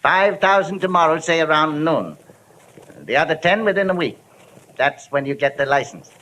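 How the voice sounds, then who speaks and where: Sharp, animated, shady private eye. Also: male voice, a little high, moderate pace. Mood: cool, bargaining. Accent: American. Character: shrewd, shady male private detective and fixer. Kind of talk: 1940s-50s radio detective acting. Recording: noisy old radio broadcast recording.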